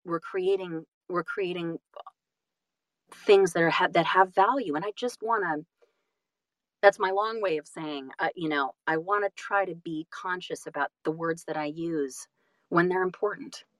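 A woman talking reflectively as an interview guest, animated and self-deprecating, often halting and repeating phrases for emphasis. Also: on the low side, no echo, poor audio. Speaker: guest, audiobook narrator and voice-over artist.

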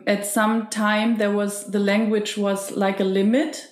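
A woman's voice: mid-range voice, flat delivery, measured pace. A woman interviewing an actor, affirming and laughing lightly as she talks about casting. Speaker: interviewer, former casting director. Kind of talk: conversational interview. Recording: roomy echo.

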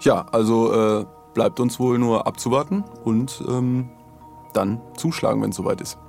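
A man co-hosting a podcast, explaining things casually in a measured way with pauses. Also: medium pitch, creaky, a little mellow, faint music behind. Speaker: podcast co-host.

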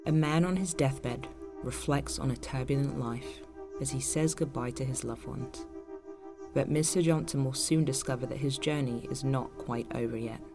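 A man reading a scripted story synopsis as narrator, measured and solemn. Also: mid-range voice. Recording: clear music behind.

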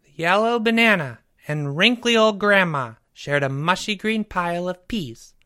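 A man performs a young schoolboy from a storybook, pitched high. The delivery is an earnest, sing-song recitation of silly verse, with a bright, forward tone and very dry, close sound. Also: animated, normal speed, clear, resonant tone, no room sound. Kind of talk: storybook character voice.

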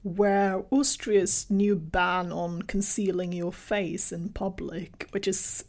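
Swedish-native female co-host, mid-pitched, easy conversational delivery. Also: fairly even intonation, slow pace, a little mellow. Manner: conversational, questioning. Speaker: podcast co-host. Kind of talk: casual roundtable chat.

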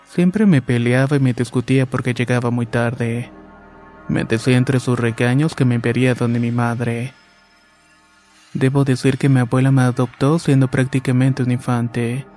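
Male horror narrator, dark and mellow timbre, monotone and steady, faint music bed. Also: mid-range voice. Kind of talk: scripted horror story narration.

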